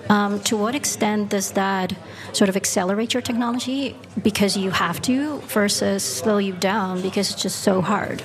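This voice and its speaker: A woman's voice: medium pitch, normal speed, a crowd in the background. A woman hosting an interview, asking open, probing questions. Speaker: interviewer, host. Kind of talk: podcast interview.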